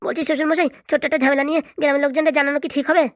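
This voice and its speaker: A woman's voice: high voice, steady tone, racing, no echo, studio quality, close to an everyday voice. A woman voicing a meek young husband who pleads hesitantly in a comic cartoon. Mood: flustered, meek. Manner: hesitant, placating, stammering. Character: meek, pleading young student husband, henpecked son-in-law. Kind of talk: comic cartoon drama dialogue.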